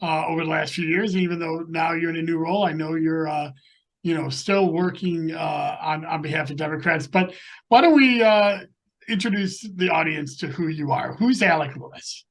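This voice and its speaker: Halting man hosting a video-call interview. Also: a little high, fairly bright tone. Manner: halting, many filler sounds. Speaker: podcast host and elections commissioner.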